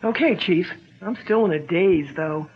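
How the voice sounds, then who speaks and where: An actress playing an eager, quick-witted young woman companion in a 1940s radio drama style. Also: lowish voice, husky. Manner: eager, bright, quick to suggest ideas. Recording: dull lo-fi old radio sound.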